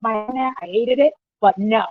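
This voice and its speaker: Insistent high-voiced woman, muffled recording. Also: brisk, soft background music. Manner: insistent, defensive, interjecting. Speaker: livestreamer activist joining an interview. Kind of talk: impromptu press interview. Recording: muffled lo-fi audio, faint background noise.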